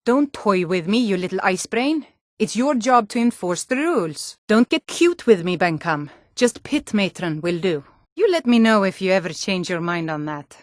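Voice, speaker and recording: A woman's voice: mid-range voice, animated, normal speed, dry recording, studio quality, natural voice. An actress voicing a hardy, no-nonsense Nord townswoman and guard in a fantasy video game, with a firm, plain-spoken delivery. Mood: stern, commanding. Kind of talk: scripted fantasy game dialogue.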